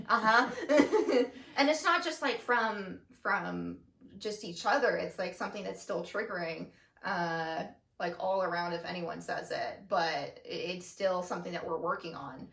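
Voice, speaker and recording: A young woman co-hosting a casual chat, with a loud, carrying voice and an expressive, animated delivery. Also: on the low side, creaky. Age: young adult. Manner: expressive, animated, quick to laugh. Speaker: co-host, daughter. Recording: roomy, mic at a distance.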